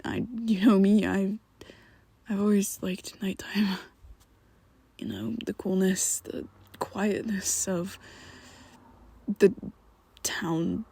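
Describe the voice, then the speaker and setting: A woman's voice: on the low side, gravelly, a little mellow, husky, exaggerated voice. A woman voicing a young male vampire best friend in an ASMR roleplay, who talks hesitantly and half-whispers with nervous stammers.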